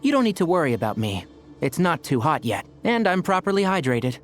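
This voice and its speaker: A man playing a calm, helpful young companion in a video game, polite and reassuring. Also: on the high side, fairly quick, faint music behind.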